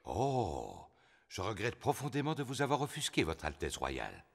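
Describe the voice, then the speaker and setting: A man's voice: on the low side, wildly swooping pitch, moderate pace, creaky, husky, in a large hall, full character voice. A man voicing a jolly, good-natured father pig in a French cartoon dub, playing along in a mock-formal way.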